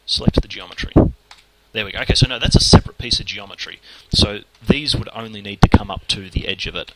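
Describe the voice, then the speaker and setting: Australian man, low gravelly voice, quick informal tutorial patter, noisy desk mic with typing behind. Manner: fast, informal, mutters at the software. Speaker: 3D modelling tutor. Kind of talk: screen-recorded software tutorial, thinking aloud. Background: keyboard typing and mouse clicks.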